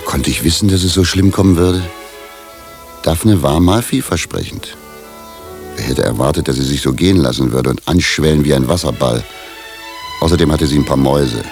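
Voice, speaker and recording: A restless, middle-aged professor hungry for adventure in a radio comedy. His voice is extremely low and gravelly. He confides and complains insistently, full of self-pity. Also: moderate pace, darkish tone, soft background music. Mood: aggrieved. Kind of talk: comic radio drama acting. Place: radio drama studio.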